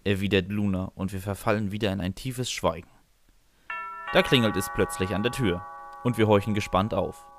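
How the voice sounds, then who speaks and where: A man's voice: lowish voice, steady tone, moderate pace, slight background noise. A man reading scripted first-person comic narration for a fan fiction audio story.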